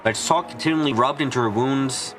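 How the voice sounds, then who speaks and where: A man giving an earnest amateur dramatic reading of a visual novel, halting for dramatic pauses. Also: mid-range voice. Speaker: amateur fan dubber. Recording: music behind the voice. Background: background music.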